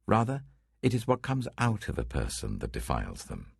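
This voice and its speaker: A man's voice: low-pitched, huge pitch swings, moderate pace, vocal fry, husky, no echo, studio quality, voice pushed up, full character voice. A British man voicing a stern, authoritative religious teacher in a dramatic scripture reading, emphatic and weighty. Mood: commanding.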